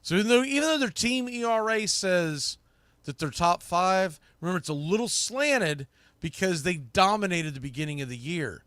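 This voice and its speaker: A man's voice: high-pitched, expressive, normal speed, fairly bright tone, dry recording. A man hosting a sports podcast, giving emphatic, opinionated analysis and repeating phrases for stress. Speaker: sports podcast host, play-by-play broadcaster.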